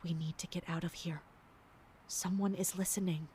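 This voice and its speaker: A woman in an audio drama playing a loyal royal guard captain, brisk, alert and protective. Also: lowish voice, steady tone, a little mellow, slight background noise, lightly acted. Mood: urgent, protective.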